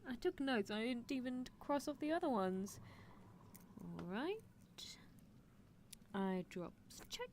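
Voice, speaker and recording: A woman's voice: fairly high pitch, animated, takes it slowly, long pauses, darkish tone. A woman voicing a gleefully unethical horse-girl scientist in an ASMR roleplay, musing in a coolly clinical, teasing manner. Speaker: streamer performing character roleplay. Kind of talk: ASMR roleplay, improvised character monologue. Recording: clear background noise.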